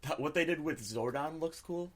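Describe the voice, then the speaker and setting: A man's voice: on the high side, moderate pace, fairly bright tone. A man co-hosting a podcast, chatting casually about pop culture and giving his opinions freely. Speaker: podcast co-host.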